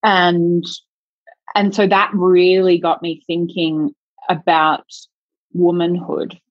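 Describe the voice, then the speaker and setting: A woman's voice: fairly low pitch, level tone, slow, dry recording. A woman speaking as a sexologist guest in an interview, reflective and searching, thinking aloud in long winding sentences. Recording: dull lo-fi dry sound.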